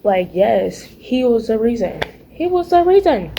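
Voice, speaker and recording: A raspy-voiced teenage girl talking casually about her faith at home, chatty and playful, calling her viewers y'all and baby. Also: fairly high pitch, sing-song, a little slow, heavy background noise. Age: teens. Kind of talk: casual faith vlog chat. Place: home, early morning.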